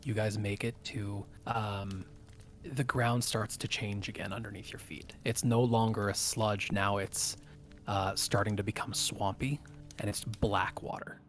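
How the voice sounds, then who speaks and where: Lowish-voiced man running a tabletop game. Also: steady tone, a little slow, faint music behind. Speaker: game master. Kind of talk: improvised tabletop game narration.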